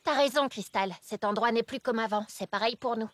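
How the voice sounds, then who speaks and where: A woman voicing an earnest, caring young girl in a French children's cartoon, with expressive delivery. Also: fairly high pitch, brisk, dry recording, close to an everyday voice. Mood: worried. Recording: music behind the voice.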